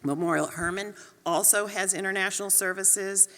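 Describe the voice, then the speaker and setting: A woman's voice: medium pitch, fairly even intonation, normal speed, a bit bright. A woman with a Texas accent, a nursing dean speaking on a panel in an earnest, encouraging, conversational way. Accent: Texan. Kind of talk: panel talk. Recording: long hall reverb.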